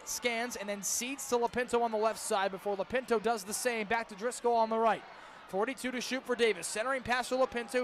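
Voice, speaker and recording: High-pitched young American male sportscaster. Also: a little hiss or hum. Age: young adult. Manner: emphatic, dramatic calls that swell into shouting. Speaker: student radio play-by-play broadcaster. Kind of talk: live lacrosse radio commentary. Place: stadium, calling games in person.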